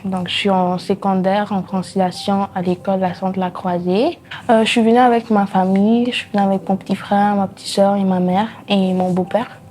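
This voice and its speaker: A woman's voice: mid-range voice, level tone, brisk, dark tone. A sixteen-year-old young woman from the Congo, introducing herself in an interview. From Democratic Republic of the Congo. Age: teenager, sixteen. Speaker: student interviewee.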